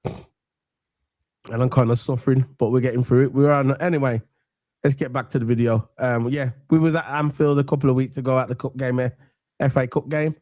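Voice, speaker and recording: Croaky English man hosting football chat. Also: mid-range voice, fairly even intonation, moderate pace, no echo, studio quality. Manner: chatty, rambling, gives shout-outs to viewers. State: croaky from a cold. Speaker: football podcast host. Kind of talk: casual chat.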